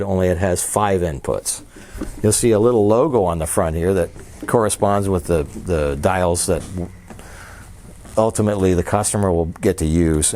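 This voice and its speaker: A man's voice: sits low, warm tone. A man casually showing and explaining a custom-built preamp, walking through its switches and features with enthusiasm. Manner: enthusiastic, explains features while handling gear. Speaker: audio dealer presenting a product. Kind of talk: casual product demo.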